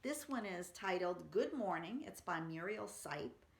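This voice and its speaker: Slow-paced woman reading poems in an echoey room. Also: on the low side, gravelly. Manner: simple, explanatory, expressive when reading aloud. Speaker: library story-time reader. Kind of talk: poetry reading for children, chatting between poems. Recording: roomy, echoey room sound.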